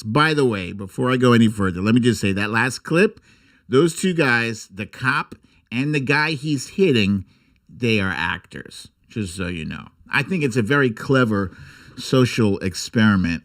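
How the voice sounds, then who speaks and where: An American man hosting a casual livestream, chatting informally and jokily with some mild swearing. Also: medium pitch. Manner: informal, jokey, mildly profane. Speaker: host of an online livestream show. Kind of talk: casual livestream chat and commentary.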